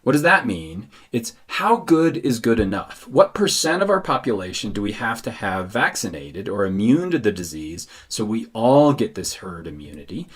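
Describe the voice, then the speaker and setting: A man's voice: lowish voice, big pitch swings, moderate pace, hardly any pauses, breathy edge. A man teaching in a didactic explainer style, posing questions and answering them himself. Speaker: science educator. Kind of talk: explainer lesson narration.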